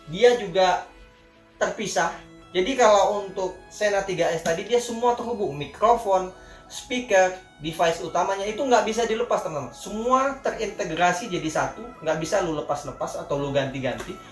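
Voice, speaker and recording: A man's voice: sits high, moderate pace. A man with a Jakarta Indonesian accent giving a casual product review in informal, colloquial talk, often addressing his viewers directly. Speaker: vlogger reviewing gear. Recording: echoey room with faint music.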